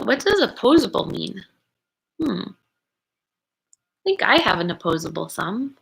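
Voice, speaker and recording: A woman reads a children's book aloud at a very unhurried pace. Her voice is bright and crisp-edged, with noticeable creak at times. She is expressive and encouraging, pausing to wonder aloud with her young listeners. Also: fairly high pitch, rough recording. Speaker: educator reading a picture book aloud to children.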